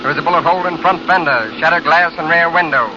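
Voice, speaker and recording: A man plays an official bulletin reader with a slightly high voice, clipped and formal. It sounds like an old radio play, muffled, with clear noise over it. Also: fast, lightly acted. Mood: official, urgent. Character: official announcer reading out a wanted-car bulletin. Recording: noisy, muffled vintage radio recording.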